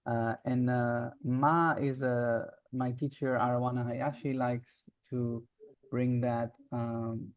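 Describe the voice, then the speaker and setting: Man being interviewed, thoughtful, lots of pauses, muffled call audio. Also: mid-range voice, flat delivery, no room sound. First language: Hebrew. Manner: reflective, searching for words with frequent pauses. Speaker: guest, social arts facilitator and founder. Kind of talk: casual interview conversation.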